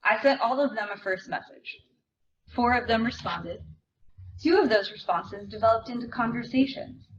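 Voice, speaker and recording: A woman's voice: a little high, normal speed. A woman presenting and reading aloud at a live indoor event. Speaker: presenter and reader at library event. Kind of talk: live dramatic reading of memoir. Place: indoor event before an audience. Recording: dull live recording, noticeable room noise.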